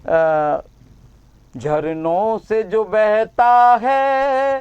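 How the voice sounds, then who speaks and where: A man's voice: high voice, very slow, darkish tone, dull audio. A man reciting patriotic verses at a poetry session, repeating each couplet and sometimes singing them in a melodic line. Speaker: poet.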